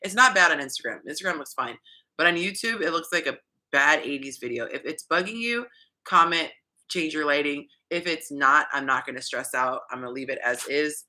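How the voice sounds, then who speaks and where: A woman's voice: low voice, animated, a little fast, sharp tone. A young woman from California, hosting a casual livestream, chatting with viewers and reading their comments aloud with frequent ums. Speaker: dating advice host.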